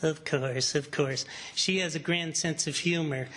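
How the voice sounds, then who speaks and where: A woman's voice: low voice, fairly even intonation, a bit bright, slight background noise. A woman playing a meek, henpecked husband with a prim, mild manner in an old-time radio comedy. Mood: meek, wistful. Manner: prim, mild, drawn-out understatement.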